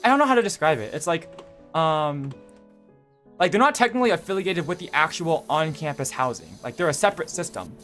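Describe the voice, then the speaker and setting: A man's voice: on the high side, sing-song, brisk, fairly bright tone, soft background music. A young man streaming, chatting casually in a rambling, self-correcting way with lots of fillers. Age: young adult. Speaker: VTuber streamer.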